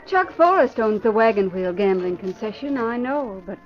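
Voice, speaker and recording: Woman playing a lively, coy society flirt. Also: medium pitch, normal speed, lightly acted. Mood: teasing. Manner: coy, teasing, flattering. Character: flirtatious, gushing, thrill-seeking society madcap egging on gangster. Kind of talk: old-time radio crime drama acting. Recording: muffled vintage radio, faint music behind.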